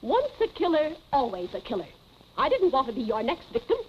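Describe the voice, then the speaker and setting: An actress playing a stern elderly housekeeper in a 1940s film melodrama, righteous, suspicious and emphatically accusing. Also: female voice, high-pitched, wildly swooping pitch, a little fast, slight background noise. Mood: bitter, accusing. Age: older. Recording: muffled 1940s film soundtrack, music behind.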